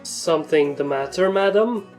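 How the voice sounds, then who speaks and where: A woman's voice: sits low, lively intonation, normal speed, a little mellow. A woman voicing a serious male pony royal guard in a storybook reading, formal and deferential. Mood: serious, dutiful. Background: music.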